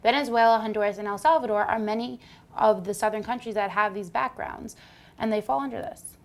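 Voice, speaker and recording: A woman's voice: medium pitch, brisk. A woman presenting a scripted news report, reading facts and figures from a script.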